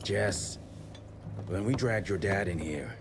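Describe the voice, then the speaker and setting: An actor playing a weathered frontier ally in a game cutscene, speaking in a slow, folksy, persuasive way. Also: male voice, deep voice, lively intonation, background noise. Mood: urging calm. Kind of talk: video game cutscene acting.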